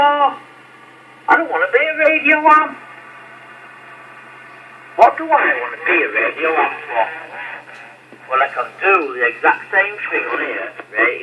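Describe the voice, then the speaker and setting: A man on a CB radio channel, arguing bluntly and swearing as he insists on his point. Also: high-pitched. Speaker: CB radio operator and channel host. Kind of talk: argumentative radio chat. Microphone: CB radio handset. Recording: lo-fi CB radio transmission with static. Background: radio static hiss.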